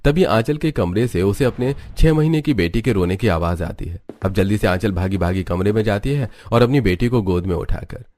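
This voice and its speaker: Male narrator, lowish pitch, scripted storytelling delivery. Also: brisk, hardly any pauses, darkish tone, no room sound.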